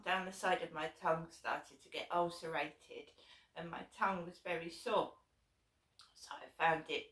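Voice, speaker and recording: A woman with a hoarse, sore-throated voice talks slowly through a rambling personal story, circling back and restarting and swearing without fuss. She sits some distance from the mic. Her voice bounces around an echoey room. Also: fairly low pitch, fairly bright tone. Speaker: vlogger. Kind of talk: casual personal vlog storytelling.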